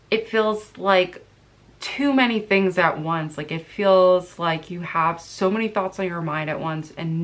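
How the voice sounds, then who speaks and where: Stuffy-nosed woman reading tarot cards. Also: on the low side. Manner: meandering, searching for words as she reads. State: stuffy from allergies. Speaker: tarot card reader. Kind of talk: casual pick-a-card tarot reading to camera.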